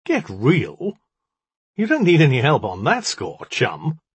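A man's voice: medium pitch, wildly swooping pitch, takes it slowly, husky, no room sound, pitched above the performer's own voice, heavily acted. A man voicing a sardonic, defiant jinni in the form of a lioness, with sarcastic, colloquial dialogue in an audiobook. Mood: mocking. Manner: sarcastic, colloquial, self-justifying.

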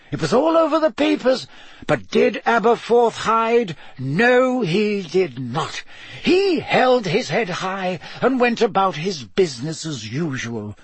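A man voicing an elderly headmaster with a dry, ironic, measured way of talking. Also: high-pitched, slightly rough, no room sound, pitched above the performer's own voice, full character voice. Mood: stern. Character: elderly male headmaster, wry and gently stern.

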